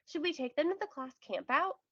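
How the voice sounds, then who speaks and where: A practical young storybook girl, friendly and matter-of-fact, read by a woman. Her voice is high with some creak, recorded with a little muffling. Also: sing-song, brisk, sharp tone, dry recording, dull audio, heavily acted. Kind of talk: children's storybook read-aloud.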